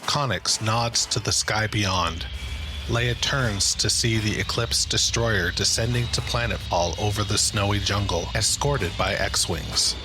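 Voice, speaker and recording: Low-voiced male dramatic narrator. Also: unhurried, low-quality recording. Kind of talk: dramatic scripted narration, present tense. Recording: narration under loud music.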